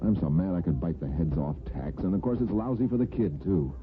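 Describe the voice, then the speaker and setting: An actor playing an earnest, lovestruck bachelor in an old-time radio drama, speaking in a folksy, emotional way. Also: male voice, low-pitched, expressive, fairly quick. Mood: troubled, earnest. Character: earnest lovestruck bachelor neighbor, blunt and tender. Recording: tinny band-limited old radio, music behind.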